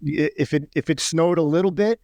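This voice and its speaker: A male podcast host talks in a laid-back, casual way, tossing in the odd curse word and plenty of "yeah." His voice sits a little higher than most men's. It is recorded close and dry. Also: brisk, no echo. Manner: relaxed, curses casually, frequent "yeah" backchannel. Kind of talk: casual interview chat.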